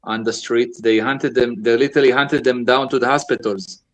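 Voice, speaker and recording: A man's voice: medium pitch, fairly even intonation, a little fast, a crowd in the background, low-quality recording. A man from Israel with an Israeli accent, calling in and speaking hesitantly as he searches for words. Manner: hesitant, many fillers and restarts, searching for words. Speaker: caller. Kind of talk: casual call-in chat.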